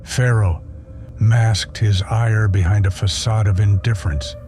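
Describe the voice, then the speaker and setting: A man giving scripted, theatrical first-person narration for an audio drama in a solemn, measured manner. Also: fairly low pitch, steady tone, background noise. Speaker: narrator and voice actor. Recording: prominent music behind. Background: music.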